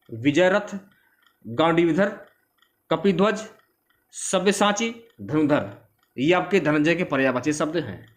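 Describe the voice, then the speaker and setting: A man with a slightly high voice speaks as an exam-prep teacher. He explains in an informal lecture style, going from question to answer and repeating key phrases as reminders.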